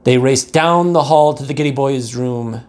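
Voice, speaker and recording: Animated man reading a children's storybook aloud. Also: mid-range voice, moderate pace. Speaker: pastor reading a picture book to second graders.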